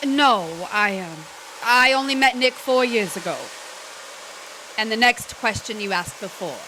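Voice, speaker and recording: Woman playing a proud, bright-voiced restless wife. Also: a little high, measured pace, a little hiss or hum, rough recording. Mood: curt. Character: proud, restless young wife of a diner owner. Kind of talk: stage drama performed online.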